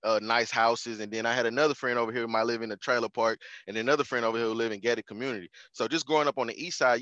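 A man's voice: lowish voice, fairly bright tone, dry recording, highs cut off. A man, chatting as a podcast guest in a laid-back, slangy way. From Houston, Texas. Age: 20s. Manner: laid-back, slangy, restarts and repeats phrases. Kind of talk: casual conversational interview.

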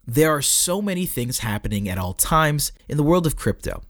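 Man narrating with lively, swooping intonation. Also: on the high side, normal speed, no room sound, studio quality. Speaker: narrator reading an article. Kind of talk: scripted narration of a crypto community article.

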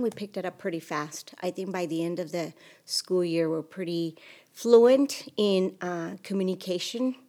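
Low-voiced woman, podcast guest. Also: a little mellow. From Mexico. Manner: hesitant, many fillers. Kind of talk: casual interview conversation.

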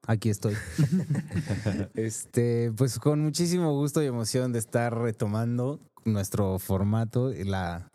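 A man's voice: mid-range voice, unhurried, dark tone, no echo. A man co-hosting a podcast, easygoing and chatty. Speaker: podcast co-host. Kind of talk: casual chat.

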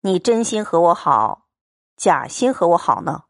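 A woman's voice: fairly low pitch, big pitch swings, measured pace, no room sound, clean studio sound, pitched below the performer's own voice. Liu Xianglian, a woman doing a cold young swordsman. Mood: scheming, coaxing. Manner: curt, coldly sneering.

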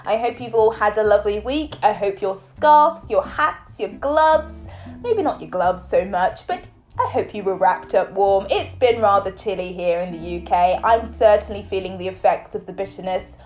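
A woman hosting her own podcast in a chatty, playful way, her voice a little croaky from a cold. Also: medium pitch, lively intonation, normal speed, a little mellow, soft background music. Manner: chatty and playful, teasing listeners. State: hoarse from a cold. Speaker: podcast host. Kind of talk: solo podcast talk.

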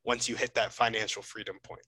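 A man's voice: fairly low pitch, little pitch movement, a little fast, bright, forceful tone, breathy edge, no room sound. A man hosting a podcast in a casual, informal and encouraging interview style.

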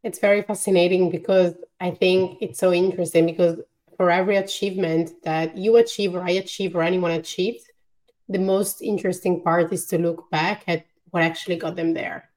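A woman's voice: on the low side, steady tone, a little fast, a little mellow. A woman hosting an interview, chatty and enthusiastic with lots of fillers. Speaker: host. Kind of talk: casual interview chat.

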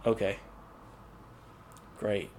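A man's voice: very slow, a little mellow. A young man co-hosting a casual podcast, with a dry, teasing and easygoing manner. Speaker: podcast co-host.

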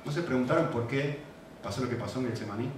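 A man with a Rioplatense Spanish accent preaching a sermon, animated and repetitive, often checking in with questions to the congregation. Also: medium pitch, fast talker, noisy background. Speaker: pastor. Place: church. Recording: very echoey hall, mic at a distance.

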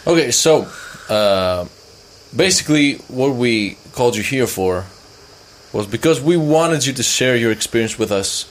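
Young male co-host, very lively intonation, sardonic and informal, sweary banter. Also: mid-range voice, unhurried, slight background noise. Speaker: podcast co-host. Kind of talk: casual banter.

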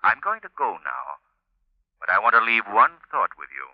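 An actor playing a suave, measured crime-fighting gentleman with a polished delivery, in 1940s radio drama style. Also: fairly low pitch, sing-song, dry recording. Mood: stern, taunting. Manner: measured, polished, sternly mocking. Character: suave crime-fighting gentleman, an unseen taunting avenger of justice. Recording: muffled old radio recording.

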